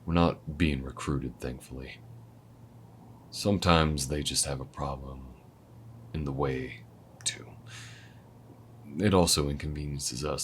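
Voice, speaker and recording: A man voicing a calm, weathered alien gunslinger who mentors the listener, speaking low-key and laid-back, sometimes near a whisper, in an audio roleplay. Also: slight background noise. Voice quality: very deep, low-key drawl.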